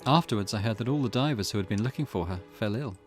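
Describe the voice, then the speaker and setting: A man's voice: fairly low pitch, expressive, fast. A man narrating a game video, reading visual novel dialogue aloud as music plays. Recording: loud music behind voice.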